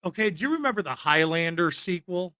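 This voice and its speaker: A man's voice: high-pitched, expressive, a little fast, sharp tone, no room sound, clean studio sound. A man co-hosting a podcast, talking casually about films in a rambling, informal and opinionated way. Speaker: podcast co-host. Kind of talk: casual film chat.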